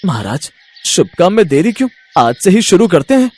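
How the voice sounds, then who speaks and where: A man's voice: high voice, big pitch swings, normal speed, a little mellow, no room sound. A man voicing a timid, deferential celestial clerk who pleads with his master in a moral story. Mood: nervous, pleading. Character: timid, reluctant male celestial clerk serving death's god. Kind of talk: animated Hindi moral-story voice acting.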